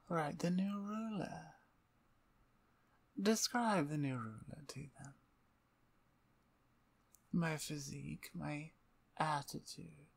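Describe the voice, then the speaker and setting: A woman playing a dominant, playful vampire conqueror in an ASMR roleplay, with a breathy, near-whispered voice and an imperious, taunting manner. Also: low voice, exaggerated voice.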